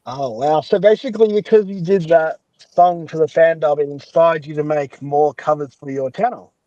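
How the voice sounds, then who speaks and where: A man's voice: fairly high pitch, moderate pace, warm tone, highs cut off. A man hosting a casual podcast interview, chatty and often echoing back what his guest has said. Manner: chatty, rephrases and echoes guest's answers.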